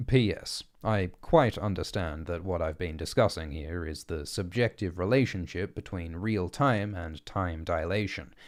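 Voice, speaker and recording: Deep-voiced man reading aloud. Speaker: audiobook reader. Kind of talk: scripted reading of essays and letters.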